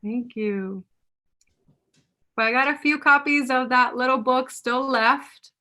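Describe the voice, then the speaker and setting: A woman's voice: high-pitched, steady tone, takes it slowly, sharp tone. A woman from Puerto Rico, an author chatting casually with her audience on a video call, laughing often and mixing in Spanish words. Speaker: author answering audience questions. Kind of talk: casual live Q&A chat.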